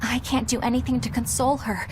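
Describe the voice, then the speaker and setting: A woman's voice: a little high, little pitch movement, quick pace, a little hiss or hum, lightly acted. A woman playing an earnest, compassionate and polite young woman in a dramatic video game. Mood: pleading, concerned. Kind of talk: English-dubbed video game acting.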